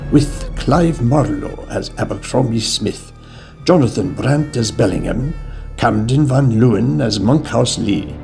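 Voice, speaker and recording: A male announcer reads scripted credits for an audio drama. His voice has a gravelly creak, and music plays clearly behind it. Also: mid-range voice.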